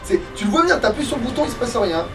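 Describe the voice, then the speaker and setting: High-pitched man gaming, slangy and sweary. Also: very animated, very fast, music in the background. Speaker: let's player at the controls. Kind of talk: casual gaming commentary chat. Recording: distant mic, loud background noise.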